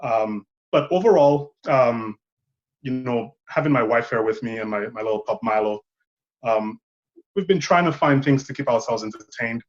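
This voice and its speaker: A man's voice: mid-range voice, normal speed, lo-fi sound. A man in his thirties from Saint Lucia, a filmmaker guest talking thoughtfully and rambling a bit. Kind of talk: casual interview chat.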